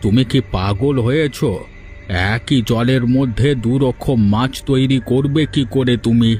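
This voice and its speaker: A man's voice: mid-range voice, fairly even intonation, a little slow, a little mellow, lightly acted. A hesitant cartoon husband, doubtful of every plan, as a man voices him. His voice sits in a mid range and he keeps asking worried questions. Faint music plays under him. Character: doubtful, cautious village husband. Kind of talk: dubbed cartoon dialogue. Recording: music in the background.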